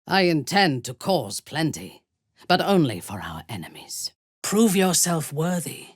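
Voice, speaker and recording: An actress playing a ruthless, haughty female drow commander in a video game, with a husky, breathy voice and a measured, imperious, menacing delivery. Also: low voice, very animated, no room sound, clean studio sound, full character voice. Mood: quiet menace. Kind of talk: video game character voice acting.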